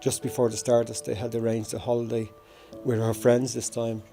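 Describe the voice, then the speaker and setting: A man in his sixties with a Dublin Irish accent gives a personal memorial tribute in a measured, reminiscing way. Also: mid-range voice, little pitch movement, creaky, music in the background. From Dublin, Ireland. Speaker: bereaved brother giving a tribute.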